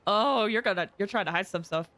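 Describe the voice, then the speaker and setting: A woman streamer giving excitable, giggly live reactions and commentary on a game. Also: mid-range voice, a little fast.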